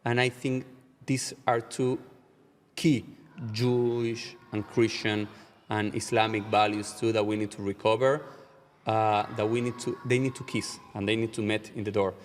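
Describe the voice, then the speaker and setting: A man from Argentina with an Argentine Spanish accent, speaking English as a later language. He is a rabbi answering interview questions in a measured way, slowing down to stress key ideas. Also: on the low side, little pitch movement, big-room sound, a little hiss or hum. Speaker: rabbi, guest.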